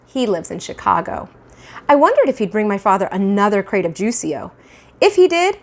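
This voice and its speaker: A woman in her thirties reading a children's book aloud expressively, like a teacher with a class book. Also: medium pitch. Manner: animated, acting out dialogue. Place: small indoor room at home. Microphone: clip-on lapel mic.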